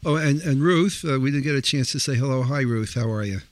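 A man's voice: medium pitch, brisk, no echo. Hesitant male radio host. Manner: hesitant, many fillers. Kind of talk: radio interview.

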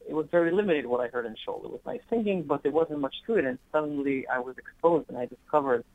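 A man's voice: fairly high pitch, quick pace, dry recording. A man from Israel, a cantor, telling his story fluently and earnestly in a radio interview by phone. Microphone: telephone. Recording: muffled phone line.

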